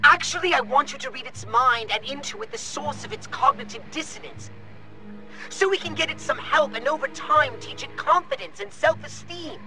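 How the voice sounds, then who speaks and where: A man's voice: extremely high pitch, lively intonation, moderate pace, music in the background, poor audio. A man voicing an irritable, scheming alien commander in a video game, pompous and exasperated, with precise, sarcastic wording. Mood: mock earnest. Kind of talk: video game cutscene acting.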